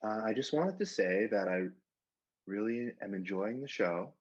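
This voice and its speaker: A muffled call-in recording of a man with a fairly low, husky voice. He speaks haltingly and tentatively, as a casual caller to a phone-in show. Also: animated. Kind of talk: hesitant casual phone chat.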